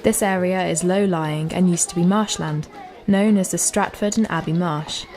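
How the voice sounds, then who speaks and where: Low-pitched woman narrating an audio walk. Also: steady tone, normal speed, a little mellow. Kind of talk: scripted educational narration.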